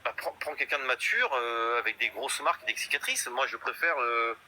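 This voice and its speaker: A man's voice: mid-range voice, lively intonation, fairly quick, pushed and bright, a little hiss or hum, rough recording. A man in his fifties in a casual live chat, boastful and rambling, full of hesitations. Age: 50s. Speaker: guest in a live stream chat.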